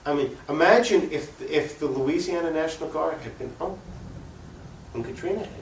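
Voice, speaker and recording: A man's voice: a little high, normal speed. A man speaking off the cuff as an activist interview guest, opinionated and emphatic, often posing rhetorical questions. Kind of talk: unscripted political argument in an interview. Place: room recording for a cable access show. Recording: echoey room, distant mic, faint noise.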